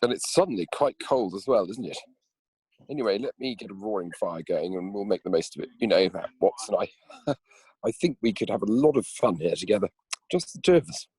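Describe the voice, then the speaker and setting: A genial but easily flustered gentleman heir acted by a man in a comic play. The voice is husky and fairly low, theatrical in delivery and closely, dryly recorded. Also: expressive, brisk, no room sound, rough recording. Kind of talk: comic amateur radio play reading.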